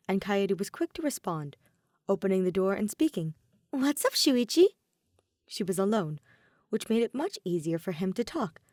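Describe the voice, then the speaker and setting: A woman reading a fan fiction story aloud as an expressive narrator. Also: medium pitch, dry recording, studio quality. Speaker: podfic narrator.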